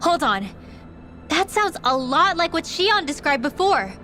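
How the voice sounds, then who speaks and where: A woman playing a spirited, expressive young girl mage in a video game. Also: extremely high pitch, measured pace, soft background music, full character voice. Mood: worried, startled. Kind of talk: scripted video game voice acting.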